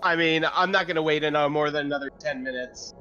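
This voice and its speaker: Lo-fi recording of a male gamer, casual and profane, a touch high, light music underneath. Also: fast, pushed and bright. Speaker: tabletop game player. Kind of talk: casual table chat.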